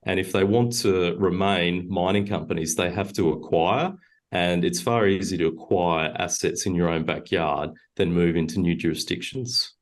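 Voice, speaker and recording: A very low-pitched man speaks as a mining company director in an interview. He answers in a measured, halting way, often pausing on fillers and correcting himself mid-sentence. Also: fairly even intonation.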